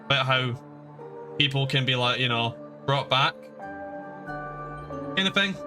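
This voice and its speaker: A man's voice: medium pitch, animated, unhurried, over music. A man chatting casually and informally as he streams a game and talks to his viewers. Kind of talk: casual live stream commentary.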